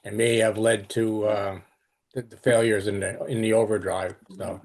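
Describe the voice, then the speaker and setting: A man with a slightly low voice speaks haltingly. He gives a casual account on a group call. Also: flat delivery, a little mellow.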